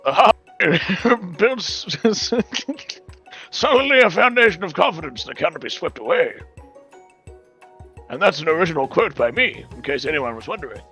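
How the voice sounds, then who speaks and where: A man's voice: a little high, animated, normal speed, bright, forceful tone, faint music behind. A man voicing a smug, self-admiring cooking school rival with theatrical manner in a comic dating game. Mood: smug, pompous.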